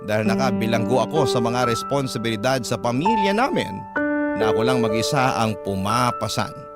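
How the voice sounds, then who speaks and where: A man's voice: medium pitch, lively intonation, normal speed. A man reading a listener's letter as a radio storyteller, in plaintive, dramatic first-person narration. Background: prominent background music.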